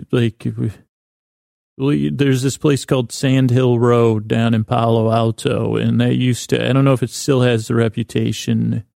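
A man hosting a sleep podcast with a meandering, stammering comic monologue, halting and deadpan, in a gravelly voice. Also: medium pitch, no echo, studio quality. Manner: meandering, halting, stammering with many false starts. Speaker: podcast host.

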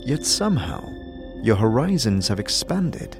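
A male narrator tells a dramatic scripted story in a fairly low voice. His delivery is measured and atmospheric, and music plays behind him. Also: sing-song. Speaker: narrator and voice actor.

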